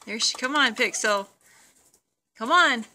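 A woman's voice: on the high side, lively intonation, unhurried, pushed and bright. A middle-aged woman chatting casually as she films her family on a handheld camera. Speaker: mother filming family vlog. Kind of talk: casual home chat. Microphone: handheld camera, speaking from behind it. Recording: noisy handheld home video.

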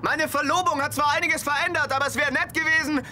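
A man's voice: very high-pitched, steady tone, fast, pushed and bright, slight background noise, full character voice. An actor playing an earnest engaged young man in a dubbed sitcom, sounding aggrieved. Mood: hurt.

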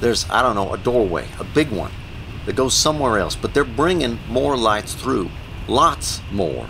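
A man's voice: medium pitch, expressive, moderate pace, vocal fry, a bit bright, low-quality recording. A man acts out a weary small-county sheriff in an audio drama. He is blunt and folksy, breaking off as he goes, with very varied intonation over faint noise. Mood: shaken, weary.

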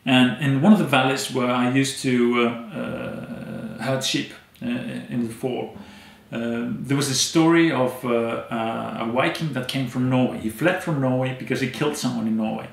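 A man in his thirties from Iceland, a native Icelandic speaker, telling stories as an interview guest with many pauses and fillers. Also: medium pitch, creaky, reverberant. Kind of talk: conversational storytelling. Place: dim indoor room, seated in armchair.

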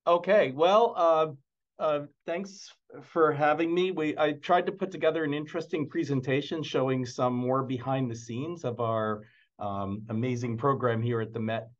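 A man giving an informal slide presentation over a video call, speaking in halting phrases with repeated words. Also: medium pitch. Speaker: presenter, museum imaging department staff. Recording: dull, band-limited video call audio.

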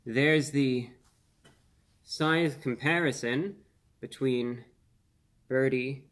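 A man in his twenties hosting a casual food review on camera, speaking in an earnest, measured way with slightly formal phrasing. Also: medium pitch. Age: 20s. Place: small indoor room.

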